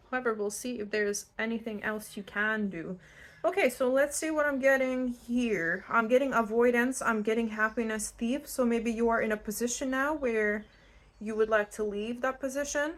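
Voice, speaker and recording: A woman from Lithuania, giving an unscripted tarot reading in a blunt, conversational way, speaking straight to the listener. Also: on the high side. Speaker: tarot reader hosting her own channel. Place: indoors at home in a new-build house.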